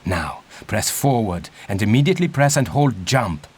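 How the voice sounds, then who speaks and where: An older, stern archaeologist guides a student in a video game. His voice is low and rolls widely in pitch. He is formal, pompous and condescending, echoing in a long cavernous reverb. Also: sing-song, moderate pace. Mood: instructive. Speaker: mentor and guide. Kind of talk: video game dialogue and tutorial instruction.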